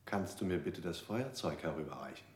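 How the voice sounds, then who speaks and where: A man's voice: low-pitched, animated, a little fast, reverberant. An actor playing a pushy questioner in a radio play, repeating his questions insistently.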